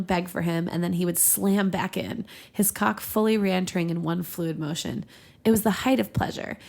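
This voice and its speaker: A woman's voice: lowish voice, gravelly, darkish tone. A young woman hosting a podcast, reading fan fiction aloud with casual asides. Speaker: podcast host and reader.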